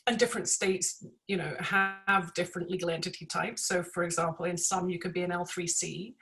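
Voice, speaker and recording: A woman with a British accent, answering interview questions in a measured, explanatory way. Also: on the low side, fairly even intonation, fairly bright tone, faint music behind, poor audio. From United Kingdom. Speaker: interview guest, executive director.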